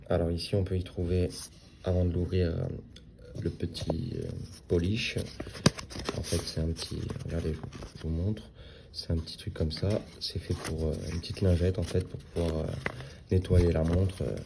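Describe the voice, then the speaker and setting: A man's voice: low-pitched, vocal fry, background noise. A man in his thirties giving a casual, hesitant unboxing review of a watch, with many fillers and restarts. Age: 30s.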